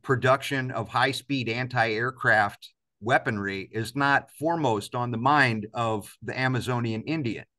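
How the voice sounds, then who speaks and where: Bright-voiced man in his fifties. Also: mid-range voice, measured pace. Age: 50s. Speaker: interviewee. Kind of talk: reflective interview talk. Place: indoors, large office-like room, on a video call.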